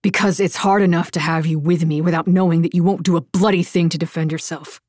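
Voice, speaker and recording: A woman reading the part of a blunt, irritable young male warrior in an audiobook, with a curt and scornful manner and a slightly lowered voice. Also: steady tone, quick pace, a little mellow, dry recording, studio quality, lightly acted.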